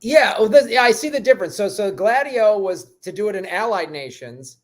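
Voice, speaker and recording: A man's voice: sits high, animated, a little fast. A man hosting a comedy podcast, riffing with sarcastic, crude jokes in casual chat.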